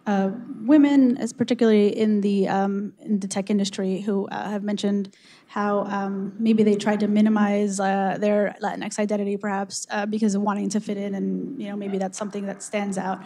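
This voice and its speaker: Mellow woman, halting panel answer. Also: medium pitch, flat delivery. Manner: hesitant, many ums. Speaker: panelist, engineer.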